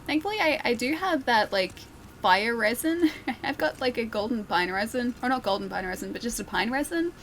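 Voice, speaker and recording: A woman's voice: fairly high pitch, lively intonation, fairly quick, fairly bright tone, slight background noise. A young woman from Australia streaming a video game, chatting casually and giggling as she talks to her viewers. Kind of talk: casual live-stream commentary.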